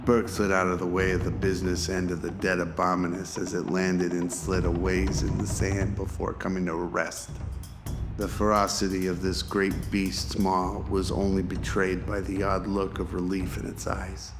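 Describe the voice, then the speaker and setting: A man's voice: deep voice, completely flat delivery, moderate pace, over music. A man reading scripted narration for a radio-style audio drama.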